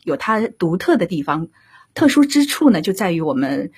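A woman giving explanatory, measured and courteous answers as a guest in an interview. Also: lowish voice, big pitch swings, darkish tone, slightly rough. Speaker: radio drama director, interview guest.

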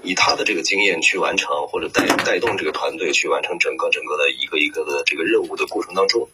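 A man's voice: extremely low pitch, fast, background noise. A man working as a voice actor, giving reflective interview answers and hesitantly searching for words. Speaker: voice actor, guest.

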